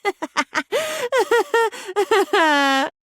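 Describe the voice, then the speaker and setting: A woman's voice: extremely high pitch, big pitch swings, very slow, a bit bright, no room sound, clean studio sound, heavily acted. An actress voicing a living diary in a video game, a wounded, sardonic woman with a theatrical, brooding manner. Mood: mocking, whimsical. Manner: theatrical, sardonic, brooding, swinging between muttering and outbursts. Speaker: video game character voice actor. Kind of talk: dramatic video game voice acting.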